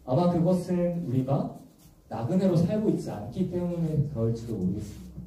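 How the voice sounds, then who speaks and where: A young man preaching to a church youth group in a gentle, explanatory way, often asking the congregation questions. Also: a little high, animated, moderate pace, a little hiss or hum. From Busan, South Korea. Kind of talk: sermon. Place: large echoey church hall. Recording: echoey church hall from far off.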